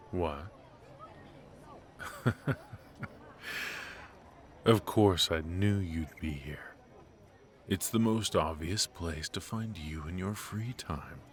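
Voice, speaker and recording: A man playing a suave, ruthless mafia boss in a noir audio roleplay, menacing and teasing, talking smoothly in short, deliberate phrases. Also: deep voice, a little hiss or hum. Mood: playful, smug.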